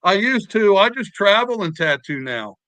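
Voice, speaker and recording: A man in his fifties hosts a podcast and tells stories at length, often repeating himself as he gets going. His voice is bright and smooth, with a slightly high pitch and a dry close sound. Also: sing-song, moderate pace, no echo. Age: 50s. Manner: talkative storyteller, repeats words. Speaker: podcast host. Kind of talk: casual podcast chat.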